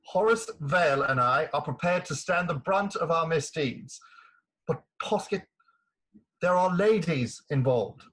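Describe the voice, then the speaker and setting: A man acts a battered, gallant colonel from a stage farce. His voice sits high for a man and has a rough husk. He talks in a bluff, gentlemanly, old-fashioned way. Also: animated, unhurried, exaggerated voice, poor audio. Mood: earnest pleading. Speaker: actor.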